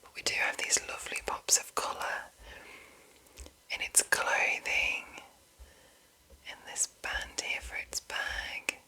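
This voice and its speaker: Woman doing whispered asmr reading, really slow, breathy, lots of little pauses between phrases. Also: pushed and bright, rough recording. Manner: slow, deliberate, pausing between short phrases. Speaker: ASMR host reading game monster entries.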